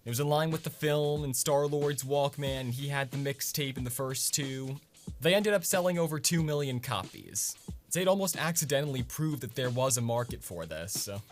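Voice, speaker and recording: A man's voice: mid-range voice, normal speed, soft background music. A man hosting a scripted explainer vlog in a conversational, punchy way with casual asides. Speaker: video host.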